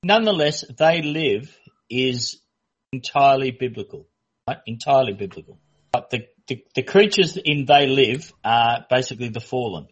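A man in casual discussion, speaking in a measured way with stammering restarts. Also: mid-range voice, animated, breathy edge. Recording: muffled lo-fi recording.

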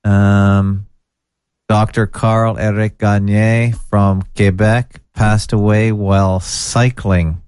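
A man's voice: lowish voice, little pitch movement, extremely slow, a little mellow, smooth, no room sound, clean studio sound. A man hosting a podcast with callers, chatting casually, joking and reading posts aloud from his screen. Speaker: podcast host. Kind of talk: casual call-in chat.

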